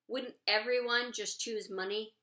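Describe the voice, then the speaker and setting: A woman's voice: a little high, steady tone, measured pace, bright, forceful tone. A woman voicing a scornful schoolgirl in a storybook, with a condescending, scoffing way of talking.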